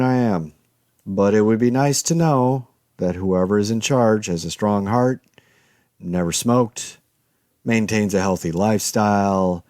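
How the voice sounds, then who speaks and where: Casting: man to play anxious zombie-apocalypse survivor, lowish voice, dry close sound, wry and rambling, halting conversational monologue for audio drama. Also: darkish tone, no echo. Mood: wry, exasperated.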